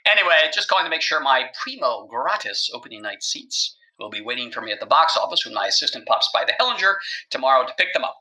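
Guy doing a smug gossip columnist on voicemail, arch and mocking, really sharp forceful voice. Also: mid-range voice, sing-song, fast, low-quality recording. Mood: snide, mocking. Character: snide, gloating male theatre gossip columnist. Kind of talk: voicemail message in a scripted audio comedy.

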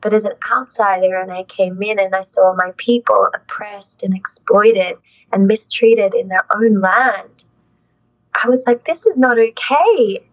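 A woman's voice: mid-range voice, a little slow, phone-quality sound. A young adult woman, an actress, talking in an interview in an earnest, thoughtful way, full of "like" and "you know". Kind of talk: reflective conversational interview.

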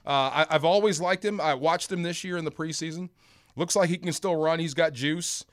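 American man, guest sports analyst, slightly high pitch, explanatory, conversational, dry studio microphone. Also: brisk, no room sound.

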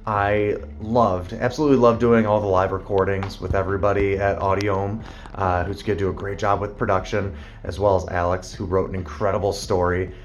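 A man's voice: low-pitched, level tone, fairly quick, highs cut off, a little hiss or hum. A man who works as a voice actor, giving a recorded greeting to listeners.

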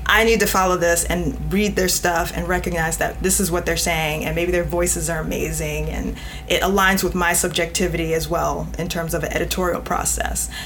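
A woman's voice: on the low side, steady tone, normal speed, a bit bright, a little hiss or hum. A woman guest on a panel interview, speaking emphatically and often voicing imagined replies as quotes. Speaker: podcast guest, literary editor.

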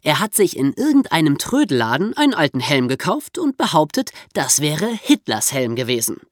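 A man's voice: fairly high pitch, huge pitch swings, moderate pace, no echo, clean studio sound. A man co-hosting a podcast, explaining history in a conversational storytelling manner. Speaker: podcast host.

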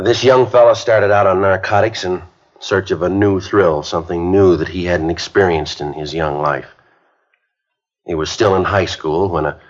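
An actor playing an earnest police sergeant rehearsing a school speech in a 1950s radio drama, heard on a muffled old broadcast recording. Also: male voice, sits low, close to an everyday voice. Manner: earnest, plain-spoken lecturing. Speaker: police detective giving a speech.

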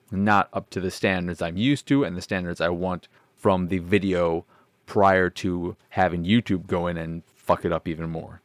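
Deep-voiced man rambling casually. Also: dry recording. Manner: rambling, self-deprecating, swears casually. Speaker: podcast host. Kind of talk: casual solo podcast chat.

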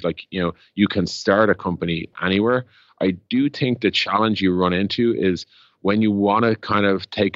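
A very low-pitched man with an Irish accent talks in a muffled, echoey recording. He is an interview guest explaining business in a conversational, rambling way, dropping in "like" and "you know" as he goes. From Ireland. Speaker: interview guest, tech startup co-founder.

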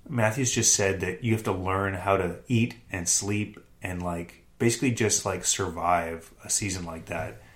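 Low-voiced man, a bit sick. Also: normal speed. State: losing his voice, a bit sick. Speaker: podcast co-host, hockey writer. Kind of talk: casual sports chat.

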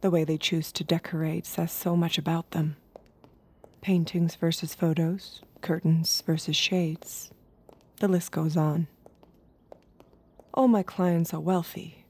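A woman playing an obedient, observant android escort, speaking in a measured, intimate way in an audio drama. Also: low-pitched, little pitch movement, mellow, husky, exaggerated voice. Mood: detached, wistful. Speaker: narrator and lead character.

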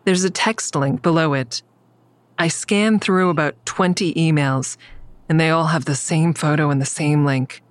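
A woman's voice: low-pitched, unhurried, no room sound. A woman acting an anxious, secretive character who is in over her head, narrating and speaking in the first person in an audio drama. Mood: tense, dreading.

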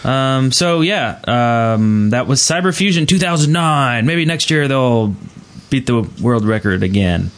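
Man, podcast host, casual chat, medium pitch. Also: expressive, a little slow, hardly any pauses.

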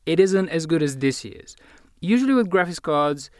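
A man's voice: on the high side, expressive, normal speed, no echo. A man from Bulgaria with a British accent, a guest tech editor explaining hardware in detail on a podcast. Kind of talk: podcast discussion.

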